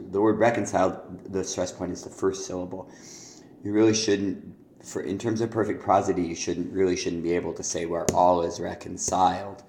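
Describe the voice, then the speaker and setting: A man with a cold and a hoarse voice gives a student spoken feedback on song lyrics, hesitant and full of ums. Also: sits low, darkish tone, echoey room, slight background noise. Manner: hesitant, many ums, speaks lyrics aloud slowly. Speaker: songwriting teacher.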